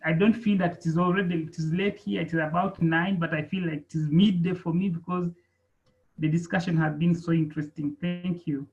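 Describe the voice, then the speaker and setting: A doctoral student from Uganda, earnestly and enthusiastically introducing himself in an online meeting. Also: male voice, a little high, steady tone, a little fast, a little mellow, muffled recording. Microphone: video call.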